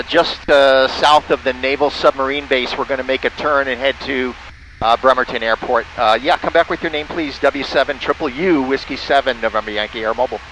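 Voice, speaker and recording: Bright-voiced man narrating from a small plane. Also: medium pitch, rough recording. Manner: spells out callsigns in phonetic alphabet. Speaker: pilot and amateur radio operator. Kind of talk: casual in-flight narration and radio calls. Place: small airplane cockpit in flight. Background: faint vehicle engine noise.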